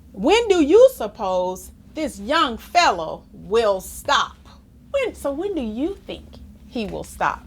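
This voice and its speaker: A woman reading a rhyming children's book aloud in an expressive, theatrical way, with chatty asides to young listeners. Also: high-pitched. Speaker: storybook reader.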